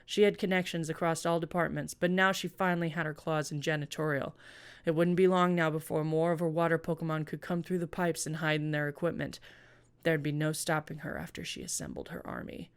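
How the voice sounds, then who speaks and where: Lower-pitched woman reading a comic story. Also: flat delivery, fairly quick, clear, resonant tone. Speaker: presenter reading own fan fiction. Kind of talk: casual intro and comedic story narration.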